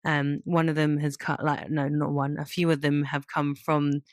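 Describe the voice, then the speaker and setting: Low-voiced woman chatting on a podcast. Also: little pitch movement, moderate pace, no room sound, clean studio sound. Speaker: podcast co-host. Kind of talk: casual podcast chat.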